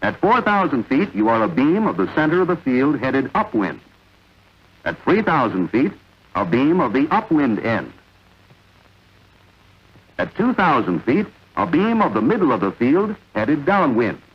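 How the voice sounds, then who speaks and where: A man narrating a vintage military training film in a measured, authoritative, instructional way. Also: mid-range voice, sing-song, husky. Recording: dull, lo-fi vintage film soundtrack.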